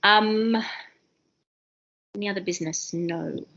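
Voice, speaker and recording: A woman with an Australian accent leading an online university seminar, talking fast and excitedly with many ums and restarts. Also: mid-range voice, vocal fry, dull audio. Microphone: video call.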